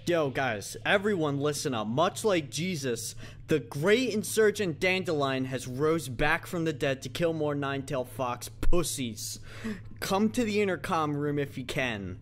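A man's voice: a little high, lively intonation, a little slow, faint music behind. A man playing a video game, trash-talking over an intercom in a brash, profane, taunting manner.

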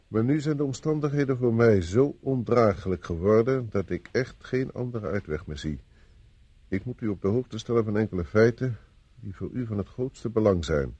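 An actor playing a self-assured police inspector in a radio play, with a commanding, probing, slightly condescending manner. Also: male voice, fairly low pitch, warm tone, husky, dry recording. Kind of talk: Dutch radio play acting.

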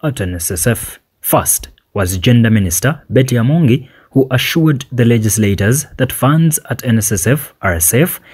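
A man with a Ugandan accent and a husky voice, reading a scripted television news report. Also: mid-range voice, lively intonation, moderate pace, few pauses, darkish tone.

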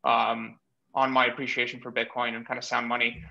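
A man in his thirties from Bulgaria, explaining his background in a measured way with many pauses, speaking as a guest over a video call from a small room. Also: medium pitch, monotone, vocal fry, pushed and bright. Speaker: guest, startup cofounder. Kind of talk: remote interview. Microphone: webcam or laptop mic on video call. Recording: dull lo-fi video call audio.

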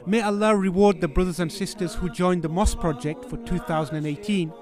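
A man giving a measured, reverent scripted narration as a charity voice-over. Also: high voice, steady tone, darkish tone. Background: music.

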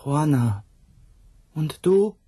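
A very breathy man, an earnest lover in an intimate radio drama. His voice is soft and mellow at a medium pitch, recorded dry. He sounds troubled and questioning. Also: sing-song, drawn-out words, no room sound, full character voice. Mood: troubled, disbelieving. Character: troubled, disbelieving lover of a river spirit. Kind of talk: intimate German radio play acting.

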